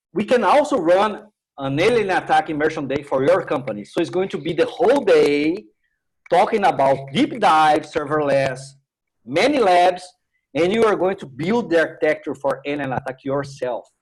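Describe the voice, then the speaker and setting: A Brazilian man with a somewhat high-pitched voice presents a tech workshop online. His English carries a Brazilian accent from his native Portuguese. He talks informally and haltingly, repeating words and checking in with "right?" as he goes. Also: low-quality recording. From Minas Gerais, Brazil. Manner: halting, repetitive, with "right?" tags. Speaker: technical presenter and workshop instructor.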